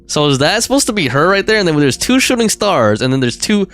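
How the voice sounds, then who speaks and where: A man's voice: a little high, very animated, a little fast, a bit bright, faint music behind. A man livestreaming a game, chatting casually in a rambling, slangy way as he thinks aloud.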